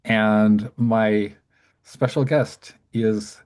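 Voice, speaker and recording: A middle-aged man hosting an interview show, talking in a rambling, halting way with many restarts and fillers. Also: on the low side, steady tone, darkish tone. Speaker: podcast host and music journalist. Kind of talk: casual interview.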